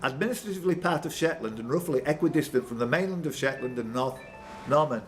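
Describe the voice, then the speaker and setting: A man hosting a live quiz, reading questions, calling on players by name and bantering excitedly with them. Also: medium pitch, fast. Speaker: quizmaster. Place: pub room with players around. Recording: noisy live pub room recording.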